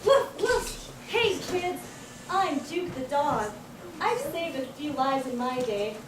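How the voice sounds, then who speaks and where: Puppet show mutt woman performer, proud and friendly, exclaiming in a high, animated voice, noisy room. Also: slow pace, exaggerated voice. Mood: proud, eager. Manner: exclaiming, barking, cartoonish. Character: heroic friendly boastful mutt dog puppet. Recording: noisy, echoey room, distant mic.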